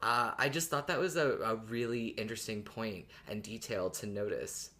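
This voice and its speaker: A woman's voice: rumbling low, normal speed, a bit bright. A woman co-hosting a casual podcast discussion, amused and feeling her way through her phrases. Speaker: podcast co-host. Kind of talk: casual fan discussion chat.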